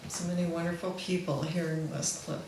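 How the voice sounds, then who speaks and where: A woman's voice: lowish voice, little pitch movement, a little fast. A woman informally hosting a memorial gathering and inviting speakers up. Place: echoey back room at a gathering. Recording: very echoey room, clearly noisy.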